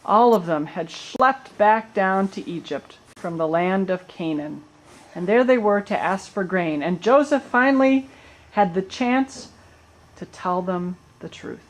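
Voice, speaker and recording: A woman's voice: medium pitch, measured pace, low-quality recording. A woman serves as narrator for a puppet show. She tells the story in a colloquial storyteller's way, dropping in little asides as she goes.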